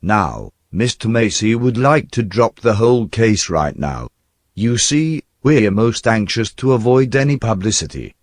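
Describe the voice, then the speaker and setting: A man's voice: lowish voice, sing-song, a little slow, no echo, studio quality. A man playing a nervous, obsequious store underling with a hesitant, fawning manner in a radio drama. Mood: ingratiating. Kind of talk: old radio drama acting.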